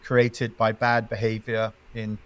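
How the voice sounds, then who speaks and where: A middle-aged man speaking as a venture investor guest, giving measured, emphatic interview answers and listing his points in threes. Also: lowish voice, completely flat delivery, fairly bright tone.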